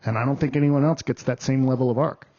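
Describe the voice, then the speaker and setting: A man film critic in a casual review chat, talking haltingly with restarts, then pushing hard on his points. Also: medium pitch, fairly even intonation, a loud crowd. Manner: halting, many restarts and fillers, then bursts of emphasis. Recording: dull lo-fi audio.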